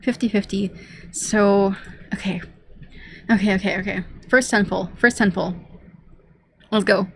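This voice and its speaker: A woman gives casual, rambling commentary while gaming. Her medium-pitched voice moves slowly as she thinks aloud and repeats words. A faint layer of game noise sits behind her. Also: fairly even intonation, creaky. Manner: rambling, repetitive self-talk. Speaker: game streamer.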